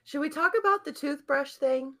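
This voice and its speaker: A woman's voice: high-pitched, normal speed, a bit bright. A woman hosting a podcast in casual, playful banter, often with a mock-indignant manner. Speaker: podcast host.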